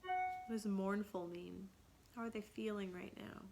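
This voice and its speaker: A woman's voice: medium pitch, steady tone, a little slow, creaky, a little mellow, soft background music. A woman reading a children's story aloud dramatically, in a teacherly way that invites listeners to think.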